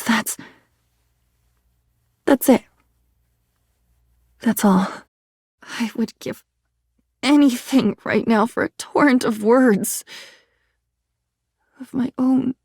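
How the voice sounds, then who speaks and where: A woman voicing a living diary in a video game, a melancholy, defiant and poetic character with halting, theatrical, emotional delivery. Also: on the high side, no echo, studio quality, exaggerated voice. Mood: rueful, flustered.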